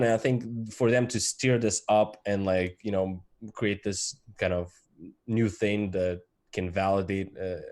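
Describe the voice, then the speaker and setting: A man with a Ukrainian accent talks through the news in a loose, halting way, full of "you know" and "um". His voice sits on the low side. He sounds like a co-host on a casual crypto podcast. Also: darkish tone. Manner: halting, many fillers and restarts. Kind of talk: casual panel discussion.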